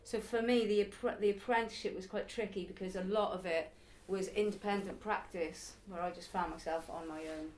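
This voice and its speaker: A woman's voice: mid-range voice, fairly even intonation, a little fast, hardly any pauses, darkish tone, slight background noise, low-quality recording. A woman in her early forties, a circus performer and youth circus teacher, answering interview questions in a chatty, reflective way. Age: early 40s. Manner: chatty, reflective, laughs now and then.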